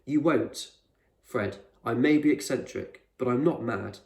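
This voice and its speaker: A man voicing an eccentric, proud male explorer in a storybook, speaking with measured authority and reassurance. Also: medium pitch, vocal fry, a little mellow. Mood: reassuring. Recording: roomy echo, distant microphone.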